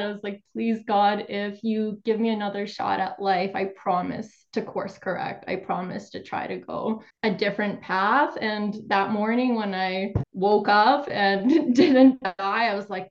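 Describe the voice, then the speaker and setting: A woman's voice: mid-range voice, muffled recording. A woman from Ukraine, a somatic therapist and coach, telling her personal story openly in a remote interview. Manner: open, reflective, laughs lightly.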